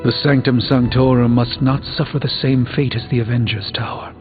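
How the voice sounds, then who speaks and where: A grave, learned sorcerer hero, played by a man, speaks in formal, theatrical phrases with a haughty edge. The game audio is slightly dull, and loud music plays underneath. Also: mid-range voice, fairly even intonation, a little fast, lightly acted. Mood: grave, worried. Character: solemn, erudite, haughty male sorcerer superhero. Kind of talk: video game voice acting.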